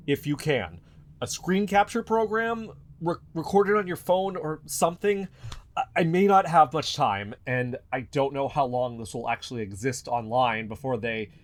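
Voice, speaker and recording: An anxious young podcaster acted by a man in a naturalistic audio drama. He speaks slowly in a medium-pitched voice, halting and breaking off as he tries earnestly to be believed. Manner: halting, breaks off mid-sentence, sighing. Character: anxious, earnest young male podcaster livestreaming desperate proof.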